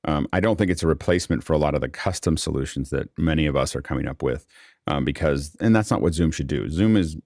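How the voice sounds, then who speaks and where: A man's voice: a bass voice, quick pace, darkish tone, dry recording, clean studio sound. A man hosting a casual tech question-and-answer panel, explaining things informally. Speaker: host and moderator of a panel.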